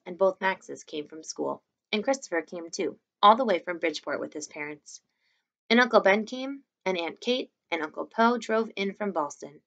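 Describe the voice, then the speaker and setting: Young female read-aloud narrator, lowish creaky voice, expressive children's-book delivery, dull webcam sound. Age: young adult. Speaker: teacher reading aloud to students. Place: small room indoors at home.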